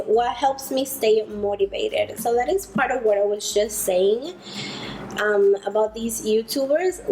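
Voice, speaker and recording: A woman's voice: a little high, darkish tone, a little hiss or hum, rough recording. A woman in her twenties from the Dominican Republic who moved to the United States, chatting casually to camera as a beauty vlogger, recorded indoors at home. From Dominican Republic, later United States. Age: 20s. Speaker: beauty vlogger, freelance makeup artist.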